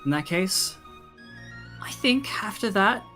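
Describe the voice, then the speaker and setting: A woman's voice: medium pitch, animated, slow, pushed and bright, faint music behind. A woman casually narrating and guiding a tabletop game as game master.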